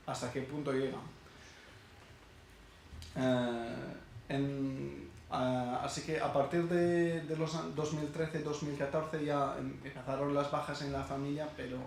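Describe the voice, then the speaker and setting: Syrian man speaking haltingly in an echoey hall. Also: mid-range voice, vocal fry, a little mellow. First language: Arabic. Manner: halting, many hesitations and restarts. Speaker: speaker at a university event. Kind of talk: personal testimony talk. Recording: very echoey hall, faint background noise.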